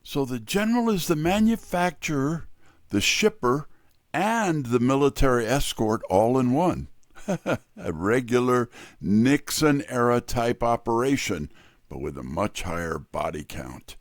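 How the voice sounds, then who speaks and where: A man as a wry, animated private eye. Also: medium pitch, unhurried, dry recording, clean studio sound. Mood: wry, probing. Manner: wry, slangy. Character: wry, tough, wisecracking male private-eye narrator. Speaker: narrator and lead character. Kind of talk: first-person hardboiled detective narration and dialogue.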